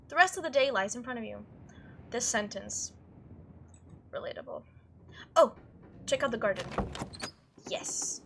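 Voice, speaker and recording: A woman with a high, very lively voice narrates a visual novel playthrough. She switches between reading the text aloud and chatty, reactive commentary in a bright tone. A faint noise sits behind her. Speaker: let's play host.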